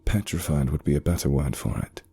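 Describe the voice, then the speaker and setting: Very deep, dark-voiced man narrating horror. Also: expressive, creaky, breathy edge. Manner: measured, suspenseful, short pauses. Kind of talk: scripted horror narration, first person. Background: eerie background music.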